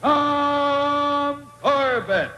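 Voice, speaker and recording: A man announcing in old-time radio style, calling out titles dramatically and drawing out words. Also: very high-pitched. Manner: dramatic, drawn-out title calls. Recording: old radio clips under loud music.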